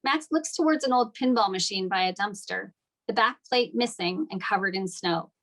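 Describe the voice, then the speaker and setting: A woman's voice: medium pitch, moderate pace, fairly bright tone. Woman reading screenplay stage directions. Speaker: stage-direction narrator at a table read. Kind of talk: scripted screenplay narration, read aloud.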